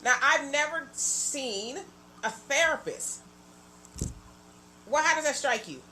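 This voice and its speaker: A woman's voice: sits high, expressive, slow, pushed and bright, slight background noise, low-quality recording. A woman in her forties hosting a casual chat, talking openly and colloquially, often laughing. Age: 40s. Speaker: host.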